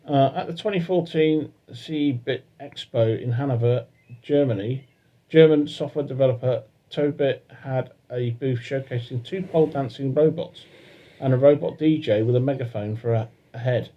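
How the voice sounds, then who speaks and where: A man co-hosting a casual chat show, reading articles aloud with hesitant pauses. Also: medium pitch, muffled recording. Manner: hesitant, fillers while reading. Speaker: podcast co-host.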